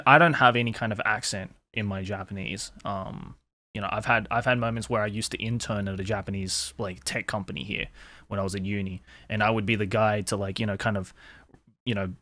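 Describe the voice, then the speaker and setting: A man's voice: on the low side, fairly bright tone, no room sound. A young man, hosting a casual interview and talking candidly, with lots of "like" and some swearing. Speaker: host.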